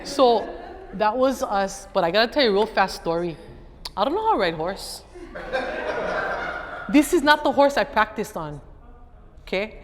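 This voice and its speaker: A woman's voice: medium pitch, moderate pace, long pauses. Hawaiian woman telling a story in a hall. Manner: animated, lively storyteller who acts out other people's voices. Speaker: guest speaker and educator. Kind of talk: storytelling talk to an audience. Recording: echoey hall, faint background noise.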